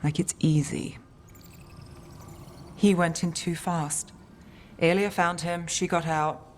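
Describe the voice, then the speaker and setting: A low-voiced, jaded woman investigator. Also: steady tone, takes it slowly, faint music behind. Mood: bitter, grieving. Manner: terse, weary, guarded. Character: jaded, hard-bitten woman investigator in a fantasy city. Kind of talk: dramatic video game dialogue.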